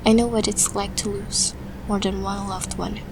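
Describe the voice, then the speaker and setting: A woman's voice: mid-range voice, little pitch movement, moderate pace, natural voice. A woman acting a vulnerable, frustrated young woman in an audio drama, with an emotional, plaintive delivery. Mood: sympathetic. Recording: noisy background hiss or ambience.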